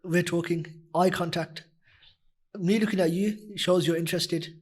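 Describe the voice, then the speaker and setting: A young man with a husky, deep voice, a nasheed reciter talking earnestly in a casual interview, full of "you know" fillers. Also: fairly even intonation, unhurried. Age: young adult. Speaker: nasheed artist guest.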